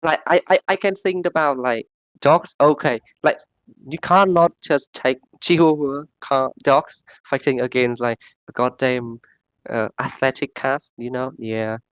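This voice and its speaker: A man with a sore throat in a casual group voice chat, rambling and halting, full of fillers. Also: medium pitch, fairly bright tone, dry recording, dull audio. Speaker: discussion participant.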